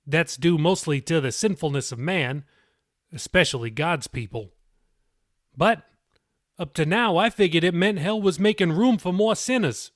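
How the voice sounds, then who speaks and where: A man's voice: on the high side, normal speed, no echo, studio quality, close to an everyday voice. A man voicing an earnest male pastor in an audiobook, reasoning aloud and teaching from scripture. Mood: earnest, thoughtful.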